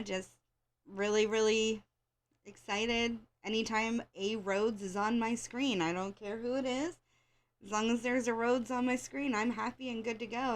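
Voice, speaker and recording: A woman's voice: fairly high pitch, creaky. Slightly congested American woman chatting casually. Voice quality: slightly congested, scratchy throat from allergies. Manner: opinionated, informal fan talk with repeated phrases. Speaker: host of a wrestling show. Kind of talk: casual rambling chat to listeners.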